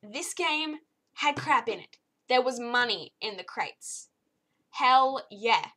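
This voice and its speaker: Slightly croaky, chatty young woman reviewer. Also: fairly high pitch, expressive, takes it slowly, pushed and bright, low-quality recording. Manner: chatty and easily exasperated, with frequent fillers. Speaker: game reviewer. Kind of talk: casual spoken game review.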